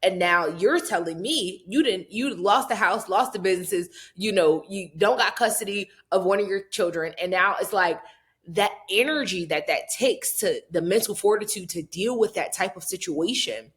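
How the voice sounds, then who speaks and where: A woman's voice: medium pitch, moderate pace. A woman hosting an interview, affirming her guest and asking long, rambling questions. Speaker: podcast host. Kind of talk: casual interview conversation.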